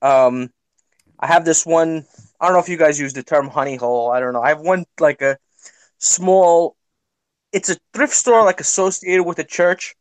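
A man's voice: a little high, moderate pace, dry recording. A man telling stories as a guest on a casual chat stream, rambling and restarting. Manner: rambling, self-interrupting.

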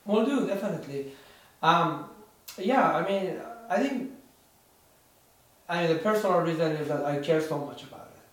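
A man co-hosts a casual podcast with a slightly raised voice. He speaks earnestly and in measured phrases, often pausing on "um" and "you know." He sounds far from the mic, in a very echoey room. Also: fairly high pitch, animated. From Iran. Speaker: podcast co-host.

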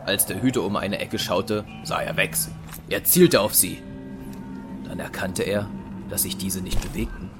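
A man's voice: deep voice, measured pace, music in the background. A man narrating a German science fiction audio drama in a dramatic, scripted storytelling style.

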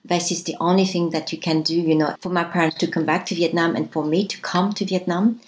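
This is a woman in her fifties with a notably low voice, a native French speaker. She recounts her upbringing as an interview guest, reflective and sincere, often opening her sentences with "so". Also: steady tone, brisk, a little hiss or hum. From France. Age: 50s. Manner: starts many sentences with "so", earnest and reflective. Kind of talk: reflective personal storytelling.

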